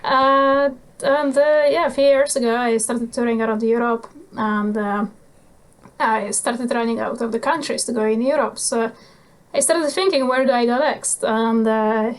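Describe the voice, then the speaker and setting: A young woman in her twenties speaks with a Polish accent, her voice sitting a little high. She talks in a relaxed, almost offhand way, halting often on small fillers as she gathers her thoughts. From Poland. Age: 20s. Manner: laid-back, nonchalant, halting with many fillers. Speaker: guest motorcycle traveller. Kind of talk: casual interview chat.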